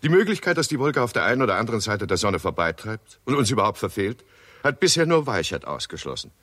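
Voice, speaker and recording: An actor playing a level-headed, hopeful scientist in a 1960s German radio drama, reasoning in a husky, conversational way. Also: male voice, lowish voice, sing-song, quick pace, a bit bright, no echo.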